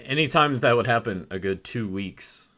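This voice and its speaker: A man's voice: mid-range voice, normal speed. A man co-hosting a podcast in casual chat, sounding a little stuffy, rambling with plenty of fillers. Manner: relaxed, rambling with many fillers. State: stuffy from allergies. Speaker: podcast co-host.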